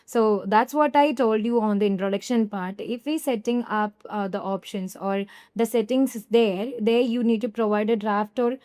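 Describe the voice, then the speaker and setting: A woman giving a casual, conversational software walkthrough over a screen recording, often correcting herself and speaking to viewers as "you guys". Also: fairly high pitch, normal speed. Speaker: software tutorial presenter.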